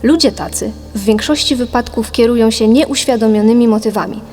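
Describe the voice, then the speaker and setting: A woman reading a scripted philosophical audiobook in a measured, didactic manner. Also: a little high, darkish tone, slight background noise, close to an everyday voice. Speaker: audiobook narrator. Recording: long reverb, music behind. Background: music.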